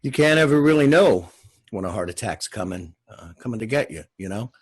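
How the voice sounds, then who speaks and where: A man's voice: on the low side, animated, a little fast, breathy edge. A man voicing a genial middle-aged small-town man in a television drama read, folksy, rambling and reflective. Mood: folksy, curious. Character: genial, hefty forty-four-year-old small-town man.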